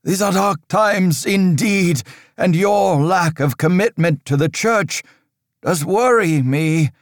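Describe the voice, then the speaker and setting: Man voicing a shrill, quivering old priest. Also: slow pace, no echo, studio quality. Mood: stern, worried. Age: older. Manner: accusing, preachy. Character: old fearful male priest, shrill and pious.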